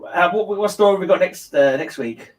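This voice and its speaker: A man's voice: high-pitched, normal speed, far from the mic. A man hosting a casual livestream book discussion, rambling a little and repeating his phrases. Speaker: host of a livestream read-along channel.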